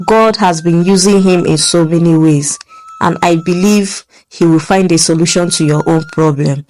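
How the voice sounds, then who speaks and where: Woman voicing a soft-spoken, soothing friend. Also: on the low side, fairly even intonation, moderate pace, soft background music, pitched below the performer's own voice, lightly acted, low-quality recording. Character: gentle, caring female friend.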